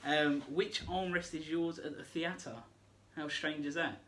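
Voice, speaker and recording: A man's voice: fairly high pitch, fairly even intonation, measured pace, noisy background. A young man, co-hosting a casual podcast, chatting and teasing as he reads out listener questions. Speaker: podcast co-host. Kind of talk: casual chat.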